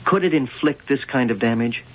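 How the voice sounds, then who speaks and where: An actor playing a skeptical police lieutenant in a radio drama, questioning in an understated way. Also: male voice, mid-range voice, brisk, natural voice. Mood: probing. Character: probing, skeptical male police lieutenant on a murder case. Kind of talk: old-time radio drama acting. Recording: dull old radio drama recording.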